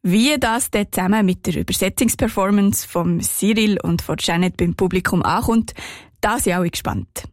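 A woman with a Swiss German accent narrating a scripted radio report in a calm, clear storytelling manner. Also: medium pitch, normal speed, no echo, clean studio sound.

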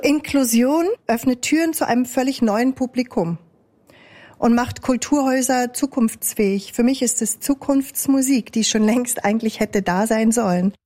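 A woman with a Swiss German accent interpreting aloud in the first person, measured and thoughtful, with small hesitations. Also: fairly high pitch, warm tone. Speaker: sign language interpreter.

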